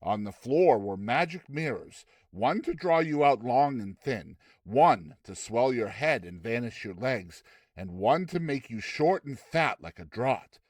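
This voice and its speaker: Male narrator, lively swooping intonation, dramatized storybook reading, dry close sound. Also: medium pitch, measured pace, dry recording. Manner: theatrical, expressive phrasing.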